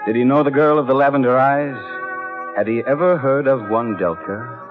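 An actor playing a wry, shrewd hard-boiled investigator in an old radio drama, dry and sardonic. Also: male voice, mid-range voice, normal speed, natural voice. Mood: brooding narration. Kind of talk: 1940s-50s radio drama acting with first-person narration. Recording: thin tinny vintage radio recording, music behind.

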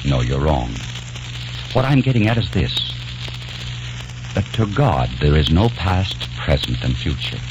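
A man's voice: extremely low pitch, sing-song, a little slow, long pauses, background noise, full character voice. An actor playing a young American man obsessed with the past, in an old radio drama, narrating earnestly. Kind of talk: 1940s radio drama acting. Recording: dull old radio recording.

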